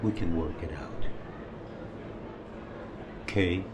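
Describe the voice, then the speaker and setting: A man's voice: deep voice, takes it slowly, heavy background noise. An actor playing a wounded, jealous older man in love in a film drama, earnest and pressing as he talks. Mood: earnest, pleading. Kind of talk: naturalistic film drama dialogue.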